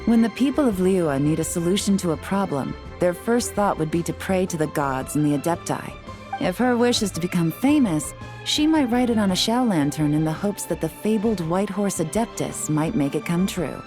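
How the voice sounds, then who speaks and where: Casting: actress for composed female investigator in a game, lower register, measured, probing, self-assured. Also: lively intonation, over music, lightly acted. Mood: reasoning. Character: cool, composed, shrewd adult female investigator. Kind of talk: video game voice acting.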